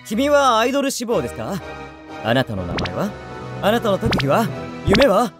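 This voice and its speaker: A man voicing a gentle, eccentric young idol teacher in an anime voice drama, speaking courteously like a teacher. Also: sits high, huge pitch swings, music in the background. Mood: polite. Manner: courteous, measured teacherly address.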